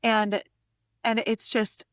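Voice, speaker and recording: A woman's voice: on the high side, flat delivery, slow, dry recording, clean studio sound. A woman speaking as a guest poet and editor in a literary conversation. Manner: effusive, conversational. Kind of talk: casual literary conversation with poem reading.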